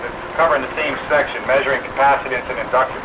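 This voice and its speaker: A man's voice: medium pitch, fairly even intonation, quick pace. A man in a casual, technical chat as a radio hobbyist talking over two-way radio. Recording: very noisy two-way radio transmission.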